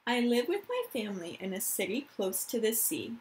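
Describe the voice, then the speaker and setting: A woman's voice: fairly high pitch, very animated, moderate pace, husky. A woman, a teacher of young children reading aloud and explaining things simply, stressing key words. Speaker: elementary school teacher.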